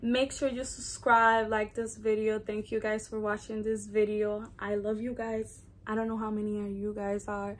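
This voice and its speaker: A young woman vlogger from the Dominican Republic, with a Dominican accent and Spanish as her first language. She talks casually and chattily at a medium pitch, straight to camera. A faint layer of room noise sits behind her voice. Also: flat delivery, moderate pace, few pauses. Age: early 20s. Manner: chatty, informal, conversational asides. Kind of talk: casual get-to-know-me vlog talk to camera.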